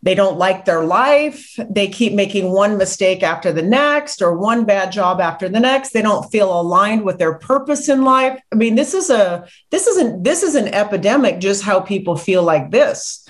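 Woman, middle-aged, medium pitch, encouraging podcast host, home office video call. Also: lively intonation, moderate pace, hardly any pauses.

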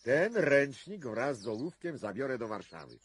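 A man acting in a 1990s Polish radio drama as a wry, philosophical investigator, with theatrical, deliberate phrasing and dramatic pauses. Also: mid-range voice, very animated, husky. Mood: musing.

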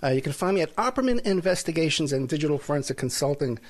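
A man hosting an interview podcast, asking questions in a relaxed way with frequent pauses and fillers. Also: on the high side. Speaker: podcast host, private investigator. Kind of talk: casual interview.

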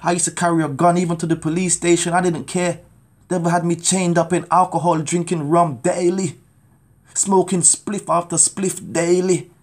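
A man in his thirties from Jamaica, preaching his testimony to a phone camera in a small room at home, urgent and pleading. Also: sits high. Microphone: phone camera at arm's length.

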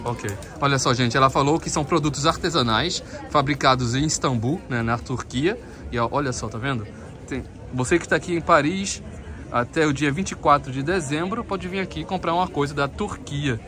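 A man's voice: a little high, brisk, sharp tone. A man from Brazil with a Brazilian accent, vlogging casually as he walks an outdoor Christmas market and chats with vendors. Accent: Brazilian Portuguese. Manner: chatty, addresses viewers often, switches to careful French with vendors. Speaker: travel vlogger. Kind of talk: casual walking vlog, chatting with vendors. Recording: busy crowd noise outdoors. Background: market crowd.

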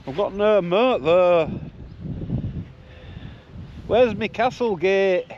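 A man's voice: high-pitched, very slow, darkish tone, a little hiss or hum. A man with a Yorkshire accent chatting casually and jokily to his viewers as a van-life vlogger, in muffled on-location audio. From Yorkshire, England. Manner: chatty, informal, jokey. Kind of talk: casual vlog commentary to viewers.

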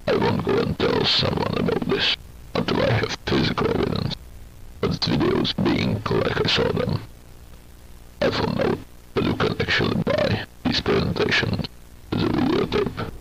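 A man whispering a first-person horror diary narration in a low, scripted delivery. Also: creaky, background noise. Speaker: narrator of a found-footage video diary. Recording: loud music under the voice.

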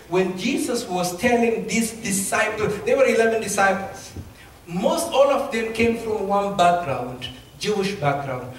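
A middle-aged man with an Ethiopian accent preaching a sermon with personal stories, in emphatic, halting phrases that he often repeats. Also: high-pitched. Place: large church sanctuary, indoors. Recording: echoey church hall, distant mic, faint noise.

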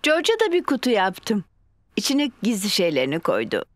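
A woman voicing a calm, caring cartoon mummy pig, gentle and patient. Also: medium pitch, moderate pace, slight background noise. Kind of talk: Turkish cartoon dub. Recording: cartoon with clear music behind.

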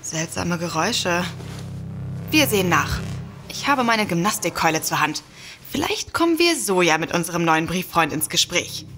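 A woman's voice: fairly low pitch, big pitch swings, moderate pace. A woman playing a defiant, wry novelist sister in an audio drama. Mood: determined. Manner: confident, wry. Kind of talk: German audio drama acting.

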